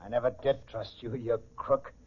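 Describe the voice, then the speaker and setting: An actor playing a wary, resentful getaway driver with slangy tough talk in a 1940s radio crime drama. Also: male voice, mid-range voice, steady tone, normal speed, husky, close to an everyday voice. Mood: bitter, gloating. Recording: dull lo-fi 1940s radio recording.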